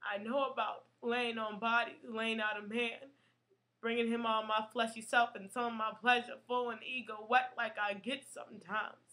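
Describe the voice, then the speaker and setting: A woman with a high, bright voice performs a poetic stage monologue. She speaks with deliberate, emotional phrasing and a theatrical sense of drama. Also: flat delivery.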